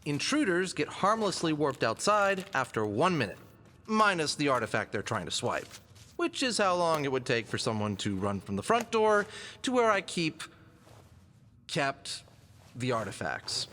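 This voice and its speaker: A man's voice: a little high, sing-song, normal speed, sharp tone, slight background noise. A man playing a confident, wisecracking fox adventurer in an audio drama, quippy and self-assured in his delivery. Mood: explaining. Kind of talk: scripted audio drama dialogue. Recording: long hall-like reverb.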